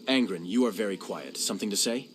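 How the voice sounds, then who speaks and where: A man voicing a stern, ruthless High Inquisitor, firm and cold. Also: fast, background noise, lightly acted.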